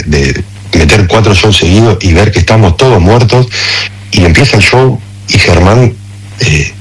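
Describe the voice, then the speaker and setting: A man's voice: low-pitched, breathy edge, rough recording. A middle-aged man with an Argentine accent, talking passionately and informally as a guest in a radio interview, often checking that he is understood. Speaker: interview guest, concert promoter.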